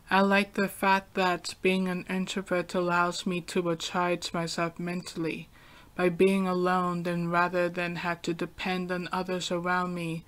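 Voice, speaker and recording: A young adult woman hosting a podcast, talking through a calm, soft-spoken, reflective solo monologue. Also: lowish voice. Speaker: podcast host.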